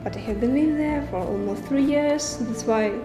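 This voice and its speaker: A young woman, a film director answering questions in a halting way, searching for words with many fillers. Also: high-pitched. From Ukraine. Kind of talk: festival Q&A answers. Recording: roomy with faint music behind.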